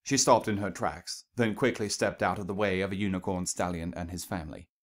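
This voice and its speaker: A man's voice: sits low, steady tone, brisk. A man reading scripted fiction aloud as a story narrator.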